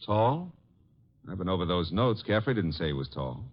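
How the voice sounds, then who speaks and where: A breezy, animated wisecracking legman. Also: sits low, moderate pace. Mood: wry, grudging. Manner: slangy, breezy, wry. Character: wisecracking, skeptical male detective's assistant, breezy flirt. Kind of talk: old-time radio drama acting. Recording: dull, lo-fi old radio recording.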